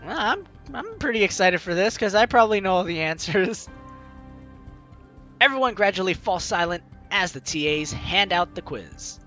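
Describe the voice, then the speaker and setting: High-pitched, animated male let's player. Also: moderate pace, lo-fi sound, faint music behind. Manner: jokey asides in reaction to the game. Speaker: gaming video host. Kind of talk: let's play commentary mixed with reading game narration aloud.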